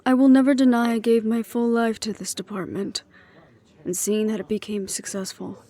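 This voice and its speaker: A woman playing a composed, dutiful middle-aged leader, speaking in a measured, reflective way in an audio roleplay. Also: fairly high pitch, level tone, lightly acted. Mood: reflective, resolute. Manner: measured, reflective, quietly firm.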